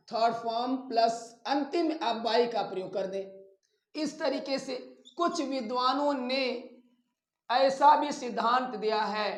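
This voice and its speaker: A male teacher gives a step-by-step lesson in an echoey room. His pace is slow and deliberate, and he sets things out piece by piece in an instructive way. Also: very high voice, a bit bright. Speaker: English grammar teacher. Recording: echoey, roomy sound.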